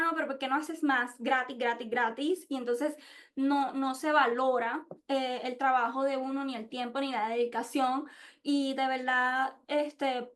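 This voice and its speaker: Woman w/ really high voice, casual reflective talk, candid, keeps hunting for words. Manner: candid, hesitant, searching for words. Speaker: podcast host and international relations researcher. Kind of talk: informal spoken presentation with personal reflections.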